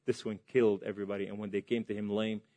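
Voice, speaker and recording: A man from Lebanon preaching a testimony sermon to a large hall, impassioned and swinging between loud and hushed. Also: on the low side, little pitch movement, rapid-fire.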